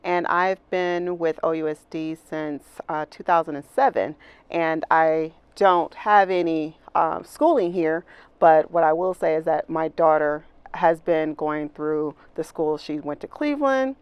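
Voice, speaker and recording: Very low, smooth American woman's voice. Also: a little slow, no echo, dull audio. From Detroit, Michigan, United States. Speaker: interview guest, facilities director. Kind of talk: conversational interview answers.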